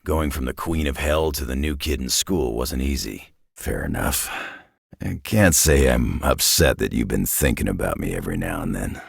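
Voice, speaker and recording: A man voicing a gruff, weary middle-aged superhero brawler for a video game, with a deep raspy growl and terse, dry, folksy tough-guy talk. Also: expressive, normal speed, dry recording, heavily acted. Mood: dry, reflective. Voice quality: deep gravelly rasp.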